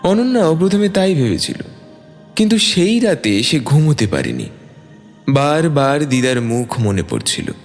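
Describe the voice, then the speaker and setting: A man's voice: on the high side, big pitch swings, measured pace. A man reading a scripted story as dramatic narration. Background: soft background music.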